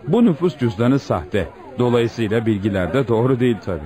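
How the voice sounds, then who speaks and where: An actor playing a cautious, courteous registry office manager in a radio drama, formal and deliberate, often hemming. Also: male voice, mid-range voice, lively intonation, over music, crowd noise, lightly acted. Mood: grave. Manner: hesitant hems, formal, deliberate.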